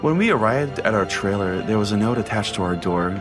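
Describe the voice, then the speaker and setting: Guy as a brash sweary pastor telling a dramatic comedy story, lowish voice, music under it. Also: lively intonation, fairly quick, close to an everyday voice. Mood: grim. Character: Pastor Jay, brash foul-mouthed pastor and show host. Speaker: narrator and podcast host. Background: prominent music.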